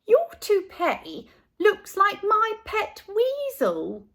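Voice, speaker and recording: A woman's voice: extremely high pitch, huge pitch swings, drawn-out words, a bit bright, voice pushed up, full character voice. A woman voicing an earnest, blunt and innocent little schoolboy in a storybook, speaking in a matter-of-fact way. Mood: matter-of-fact, proud.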